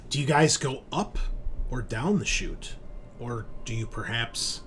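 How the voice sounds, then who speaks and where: A man's voice: medium pitch, animated, measured pace, fairly bright tone, a little hiss or hum. A man casually explaining things to players as game master of an online tabletop roleplaying game, in an informal way.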